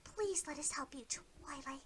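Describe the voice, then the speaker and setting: A woman voicing a timid, gentle female pony with a soft, breathy delivery. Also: high-pitched, a little slow, heavy background noise, pitched above the performer's own voice. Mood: gentle pleading.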